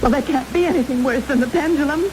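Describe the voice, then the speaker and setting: High-voiced anxious wife played by a woman. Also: quick pace, lightly acted. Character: anxious, loyal, loving captive wife. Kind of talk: vintage radio drama acting. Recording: old radio broadcast under loud rain-like noise.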